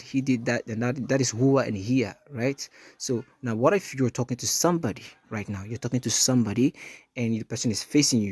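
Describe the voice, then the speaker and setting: A man's voice: medium pitch, darkish tone, husky. A man teaching an Arabic lesson, explaining each rule patiently and repeating points with small fillers.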